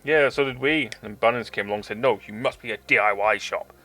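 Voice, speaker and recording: A man's voice: fairly low pitch, fast, sharp tone. A man in his thirties with a mixed British Forces English accent, chatting casually and swearing freely on a live stream. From England. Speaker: streamer building model kits.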